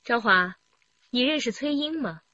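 A woman's voice: a little high, lively intonation, unhurried, sharp tone, dull audio. A woman playing a composed, authoritative police detective with a probing manner in a radio crime drama.

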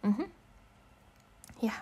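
A woman's voice: extremely slow, warm tone. A young woman speaking in a casual, intimate girlfriend roleplay, teasing, slangy and affectionate. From Eastern Europe. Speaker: ASMR roleplay performer playing a girlfriend.

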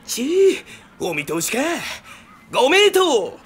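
An actor playing a cheeky, eager young peasant peddler in a samurai game, rustic, brash and excitable. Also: male voice, very high voice, takes it slowly, a little hiss or hum, full character voice. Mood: candid. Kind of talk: dramatic game cutscene acting. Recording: music behind.

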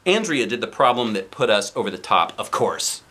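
A man in his forties reads a children's book aloud. His voice is bright and very lively in pitch, with a playful, exaggerated storyteller's delivery. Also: a little fast, slightly rough. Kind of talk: animated read-aloud of a children's story, with asides to young viewers. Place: indoors, seated on a couch.

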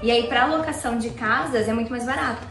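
A young Brazilian woman with a southern gaúcho accent, a guest talking in a relaxed, self-assured way. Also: a little high, fast talker, roomy sound, distant mic, faint music behind. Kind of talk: casual interview chat. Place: indoor living room on a sofa. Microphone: handheld mic from a few feet.

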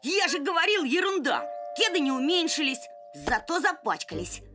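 A woman playing a cocky, teasing young boy pup in a children's cartoon, with a bright boyish voice. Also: high-pitched, sing-song, moderate pace, music in the background. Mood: mocking, amused. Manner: brash, offhand, teasing. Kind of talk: animated children's series voice acting.

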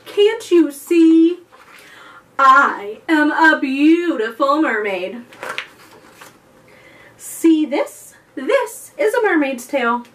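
A woman's voice: high-pitched, big pitch swings, very slow, pauses often, fairly bright tone, exaggerated voice. A woman voicing a vain, indignant female dugong who insists she is a mermaid, in an emphatic storybook manner. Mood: haughty boasting. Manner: haughty, emphatic. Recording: distant mic with background noise.

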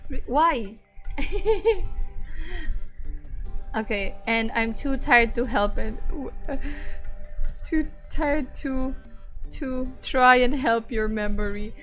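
Chatty, bright-voiced streamer woman, slow-paced. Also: sits high, animated, soft background music. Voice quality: raspy. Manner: rambling, chatty, laughs often, with frequent restarts and fillers. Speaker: VTuber streamer. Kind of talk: casual chat with stream viewers.

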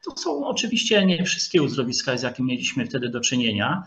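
A man giving a formal online history lecture, speaking haltingly with frequent hesitation sounds and pauses as he searches for words. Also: mid-range voice, big pitch swings, fairly bright tone. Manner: formal, addresses listeners politely. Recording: dull lo-fi video call audio.

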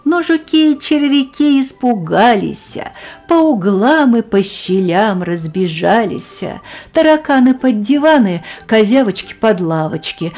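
A woman reading a children's poem aloud in a rhythmic, lively sing-song manner. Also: mid-range voice. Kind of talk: expressive children's verse reading.

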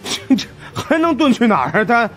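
Cheeky fat sidekick, audiobook, high voice, swooping intonation, stammering, obsequious, faint music. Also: male voice, very animated, normal speed. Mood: cheeky, curious. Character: fawning, cheeky fat man, eager sidekick. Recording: music under the voice.

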